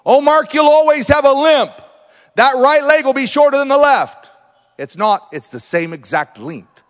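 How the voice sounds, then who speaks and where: A man preaching as a visiting missionary, telling healing stories and declaring loudly, rising to exclamations. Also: very high voice, animated, normal speed, in a large hall. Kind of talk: pentecostal sermon.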